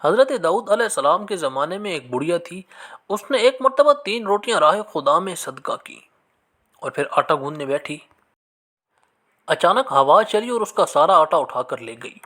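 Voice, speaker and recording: Expressive higher-pitched male storyteller. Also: normal speed. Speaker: narrator of moral story channel. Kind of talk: scripted religious storytelling narration.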